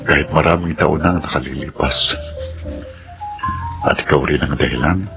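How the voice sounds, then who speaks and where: Casting: lovelorn bachelor businessman, bass voice, tender and sincere, radio romance. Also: moderate pace, gravelly. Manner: tender, earnest. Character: earnest lovelorn successful businessman, longtime bachelor suitor. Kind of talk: romantic radio drama acting. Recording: music under the voice.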